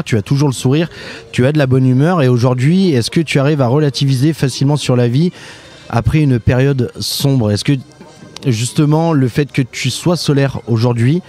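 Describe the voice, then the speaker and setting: A man's voice: mid-range voice, mellow. A man hosting a podcast, interviewing his guest in a casual, conversational way.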